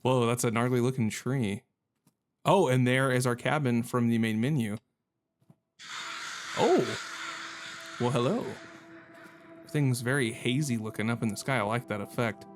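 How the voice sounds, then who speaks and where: A man hosts a video game playthrough in a medium-pitched voice. He talks casually and reacts as he goes, rambling and joking with goofy, mild exclamations. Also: a little slow, pauses often. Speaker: gamer hosting a playthrough video. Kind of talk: casual, reactive gameplay commentary.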